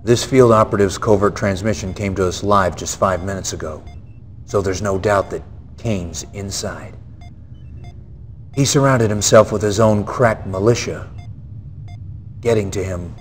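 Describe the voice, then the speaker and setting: An actor playing a stern, earnest military general, giving a grave, emphatic mission briefing in a video game. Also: male voice, sits low, measured pace, long pauses, slight background noise. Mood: serious, urgent. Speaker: military commanding officer.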